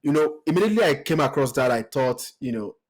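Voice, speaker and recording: A man's voice: on the high side, big pitch swings, fast talker. Nigerian man hosting a podcast. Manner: hesitant, repeats words, many fillers. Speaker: podcast host. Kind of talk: conversational interview.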